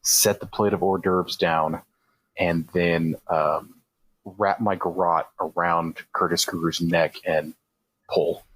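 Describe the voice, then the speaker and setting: A man's voice: low voice, steady tone, slow pace, a bit bright, breathy edge, rough recording. A man playing a tabletop roleplaying game, casually describing moves and explaining rules step by step.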